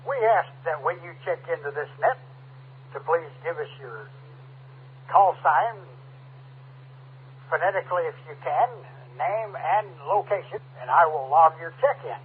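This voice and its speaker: A high-pitched man runs an amateur radio check-in net as its controller. He works from a set script and adds asides as he goes. His voice comes through a thin two-way radio channel with a faint hum and static. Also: unhurried, pauses often. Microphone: radio transceiver microphone. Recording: tinny narrowband radio link, hum and static.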